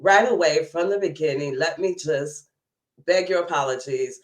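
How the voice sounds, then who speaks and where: A woman with a hoarse, cold-roughened voice hosting a live news overview and chatting with viewers. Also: deep voice, normal speed, roomy sound. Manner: chatty, greets viewers by name. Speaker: host.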